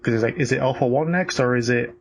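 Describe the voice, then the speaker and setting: Male co-host, mid-pitched, blunt and halting in casual chat, slight hum behind him. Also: gravelly. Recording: faint background hum.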